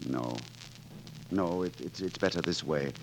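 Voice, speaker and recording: An actor playing a witty, romantic rogue poet in 1940s radio style, with florid, courtly, wry speech. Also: male voice, low-pitched, unhurried, creaky, slight background noise, low-quality recording. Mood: subdued. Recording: vintage 1940s radio broadcast, orchestral music behind.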